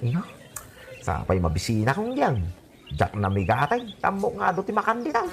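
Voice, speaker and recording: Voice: medium pitch, wildly swooping pitch, normal speed, vocal fry. A performer playing a boisterous, scheming villager in an Ilocano radio comedy drama, with exaggerated exclamations. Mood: boisterous, excited.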